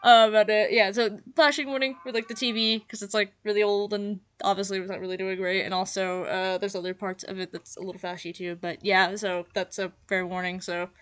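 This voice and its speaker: A woman's voice: medium pitch, little pitch movement, brisk, few pauses, clear, resonant tone. A young woman with a stuffy, nasal voice from just having woken up talks through her commentary. She rambles loosely, full of fillers, and laughs at herself along the way. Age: young adult. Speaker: channel host. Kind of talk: casual reaction commentary.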